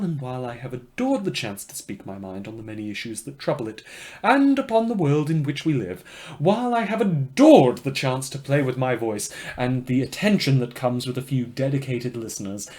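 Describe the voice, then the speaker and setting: A man's voice: medium pitch, darkish tone, natural voice. A man playing a polite but murderous radio host in a fiction podcast, wordy and theatrical, with a genteel, mock-apologetic manner. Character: polite, murderous, inhuman male radio host, darkly cheerful. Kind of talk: scripted fiction podcast monologue as radio broadcast.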